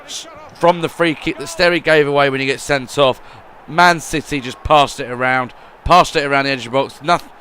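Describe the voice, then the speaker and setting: A man's voice: medium pitch, normal speed, few pauses, slight background noise. A man giving rambling voice-over commentary on his football video game career, often repeating words. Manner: rambling, repeats words, swings between gloom and excitement.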